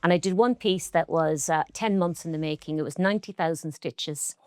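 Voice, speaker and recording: A middle-aged Irish woman with a Cork lilt and a lowish voice, talking in an interview. She is earnest and reflective, with a clear pride in her heritage. The sound is dry and close, with no room around it. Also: no room sound. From Ireland. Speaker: textile artist guest. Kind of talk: relaxed interview conversation.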